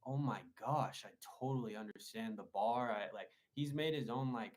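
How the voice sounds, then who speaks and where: A man's voice: mid-range voice, normal speed. A man talking as a producer guest in a casual interview, rambling with many likes and restarts.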